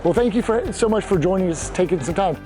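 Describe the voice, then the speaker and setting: A man's voice: high-pitched, lively intonation, fast talker, over music. A man hosting a live podcast interview at an event, often affirming his guest with short replies. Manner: affirming, frequent short acknowledgments. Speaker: podcast host. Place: museum gear shop at a live event.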